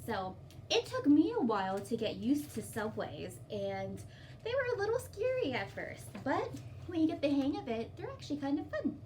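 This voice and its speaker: High-voiced woman leading children's story time. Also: big pitch swings, normal speed, darkish tone. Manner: simple, encouraging talk with questions to children. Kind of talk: sing-along and picture book read-aloud for young children. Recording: distant mic, faint background noise.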